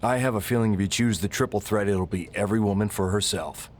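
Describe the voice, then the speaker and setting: A man's voice: lowish voice, fast talker, slight background noise, natural voice. A man playing a weary wrestling official in a video game cutscene, dry and matter-of-fact. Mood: cautioning.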